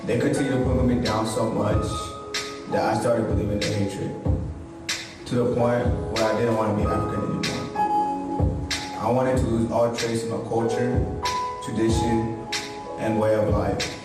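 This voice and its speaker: A man's voice: medium pitch, very animated, very echoey, distant mic. Young man reciting spoken word over music. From Tanzania. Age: young adult. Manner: measured, earnest recitation. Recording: music playing underneath.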